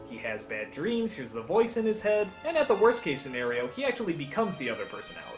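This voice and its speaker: A man's voice: fairly high pitch, fast talker, soft background music. A man narrating a scripted comedic review in an emphatic, exclamatory way. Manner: animated, emphatic, exclamatory, profane. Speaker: video reviewer and countdown host.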